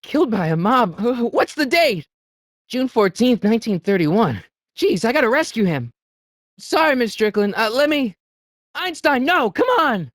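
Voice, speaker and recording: Video game teen hero: earnest, anxious American boy, widely swinging pitch, dry close sound. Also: big pitch swings, moderate pace, fairly bright tone, dry recording, full character voice. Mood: alarmed. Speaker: voice actor. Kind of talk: video game character voice acting.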